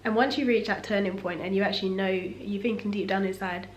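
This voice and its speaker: A young woman with a husky voice from a cough, talking in a relaxed, reflective sit-down vlog at home. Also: mid-range voice, brisk, slight background noise. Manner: relaxed, reflective and faith-focused. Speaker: vlogger. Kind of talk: casual sit-down talk. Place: room at home. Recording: echoey room, faint ambience.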